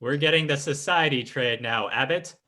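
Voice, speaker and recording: A man's voice: medium pitch, moderate pace, pushed and bright, lightly acted. A man playing a smug, commanding fake spiritualist swindler in theatrical 1940s radio style. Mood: smooth, suspicious. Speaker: actor in an old-time radio drama revival.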